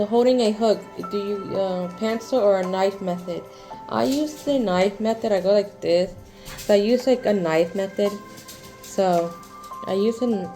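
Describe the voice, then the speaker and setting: Young woman in her twenties, mellow voice, halting casual vlog talk, faint music behind. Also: mid-range voice. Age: 20s. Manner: rambling, halting, many fillers and restarts. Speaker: crochet vlogger.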